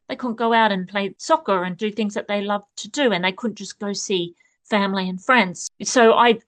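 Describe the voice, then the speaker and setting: A woman author guest recalling a hard time in an earnest, reflective way. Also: mid-range voice. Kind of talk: personal storytelling in interview.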